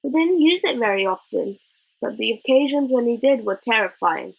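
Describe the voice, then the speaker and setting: A woman reading a children's novel aloud as narrator, in an expressive storybook style. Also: a little high, moderate pace, creaky, breathy edge, rough recording.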